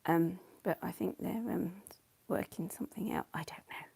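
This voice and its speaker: Husky-voiced chatty woman, slightly gravelly. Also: fairly low pitch, moderate pace, darkish tone, whispered. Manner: chatty, laughs often, reads comments aloud. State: losing her voice, coughing. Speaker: painting livestream host. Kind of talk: casual chat while painting.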